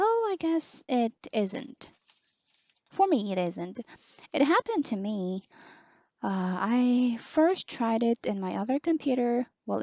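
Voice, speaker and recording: A young woman with a soft, low voice, slowly reviewing a headset as an online teacher, pausing often with fillers. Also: vocal fry, no echo, highs cut off. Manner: slow, hesitant, many pauses and fillers. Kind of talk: casual product review.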